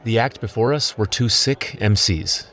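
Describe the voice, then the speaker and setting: A man's voice: on the low side, normal speed, bright, forceful tone. A man in his thirties, a podcast host telling a personal story in scripted documentary narration.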